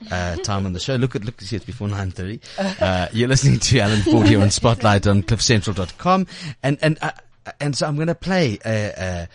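A South African man hosting a chatty talk radio show, effusive and quick to repeat words in excitement. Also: lowish voice. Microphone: studio microphone.

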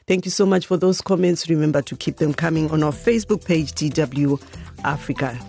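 A man's voice: a little high, gravelly, darkish tone, faint music behind. A man hosting a radio news magazine from a studio, reading out listener comments. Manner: reads listener comments aloud, brisk and friendly. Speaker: radio host.

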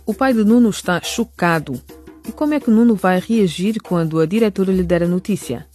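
Guy narrating an educational radio show, high voice, faint music behind. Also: brisk, a little mellow. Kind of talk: scripted narration.

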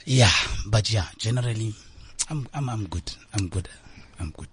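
A man, co-hosting a studio chat in a slow, hesitant, mumbling way. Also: lowish voice, lively intonation, slightly rough. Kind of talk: casual studio banter.

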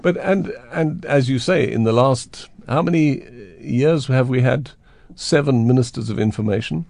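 A man's voice: mid-range voice, moderate pace, vocal fry, a little mellow. A man hosting a radio interview show, chatting with his guest in the studio. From South Africa. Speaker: radio host. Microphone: studio microphone.

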